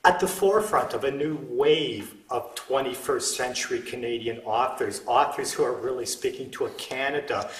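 A man's voice: medium pitch, moderate pace, few pauses, fairly bright tone, slight background noise. Male host, easy conversational questions, echoey room, distant mic. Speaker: interview host. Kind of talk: interview questions. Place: wood-panelled indoor room. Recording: roomy sound from a distant mic.

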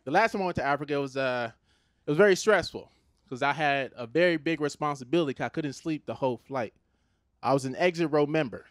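A man from Los Angeles in his thirties with an American accent, performing a stand-up comedy set on a theater stage into a handheld mic, animated and conversational. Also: fairly high pitch, fast, dry recording. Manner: animated, conversational, switches into put-on Nigerian voices for his parents.

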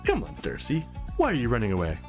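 A man's voice: mid-range voice, huge pitch swings, quick pace, a little mellow, faint music behind. A man playing a cocky, boastful young man who taunts a sparring rival in a visual novel. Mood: taunting.

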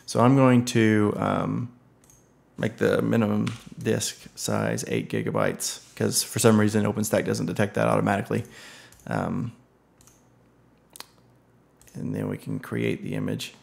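A man giving a relaxed screen-recorded software tutorial, thinking aloud with many ums and uhs. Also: fairly low pitch, a little slow, vocal fry. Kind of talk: casual screen-recorded tech walkthrough.

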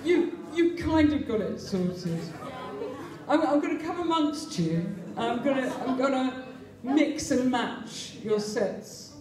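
A woman's voice: sits high, animated, slow pace, distant mic. A woman with an English accent calling steps at a barn dance, in a chatty, sing-song way that keeps breaking into laughter. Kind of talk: calling dance moves over live music. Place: large community hall with dancers. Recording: echoey hall over loud band and crowd.

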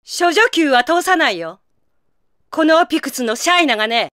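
A high, bright female warrior voice from an anime game. She is proud and commanding, with tough, rough-spoken delivery that swings widely in pitch. Beneath the fierceness lies a secret tenderness. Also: expressive, normal speed, no echo, clean studio sound. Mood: stern, challenging. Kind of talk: Japanese anime game voice acting.

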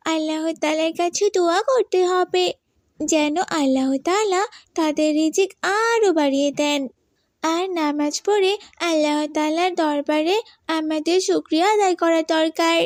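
A woman's voice: very high voice, no room sound, studio quality. A woman voicing an earnest, devout and polite young girl in a children's moral cartoon.

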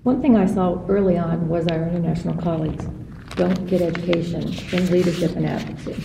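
Gravelly woman in an echoey room. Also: on the low side, fairly even intonation, quick pace. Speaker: guest, association president. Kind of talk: interview talk. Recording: echoey distant mic with background noise.

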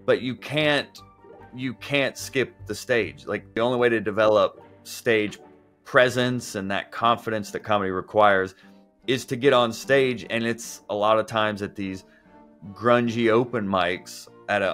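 A man who hosts a podcast and does comedy, answering interview questions in a relaxed, talkative way and often saying "like". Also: lowish voice, moderate pace, soft background music.

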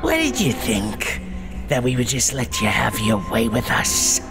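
Slow creepy demon vampire bad guy, croaky, gloating and taunting, comic dub. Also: medium pitch, wildly swooping pitch, full character voice. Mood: mocking. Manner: taunting, drawn-out delivery with dark chuckles. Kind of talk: comic book dub acting. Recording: dramatic music behind the voice.

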